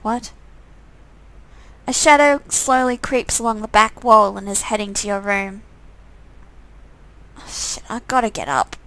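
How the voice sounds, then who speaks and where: A woman's voice: medium pitch, unhurried, long pauses, fairly bright tone. A woman reading a visual novel game aloud in dramatic narration, breaking off for casual, off-the-cuff comments.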